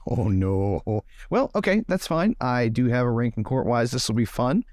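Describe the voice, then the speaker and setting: A man's voice: medium pitch, expressive, moderate pace, a little mellow, dry recording, studio quality. A man playing a tabletop game, chatting casually and thinking aloud with a joking manner.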